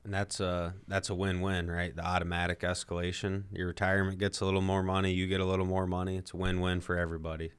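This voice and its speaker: A man's voice: low voice, completely flat delivery, quick pace, few pauses, clean tone, no echo. A young man co-hosting a personal finance show, offering advice conversationally as he thinks aloud. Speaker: co-host and financial advisor.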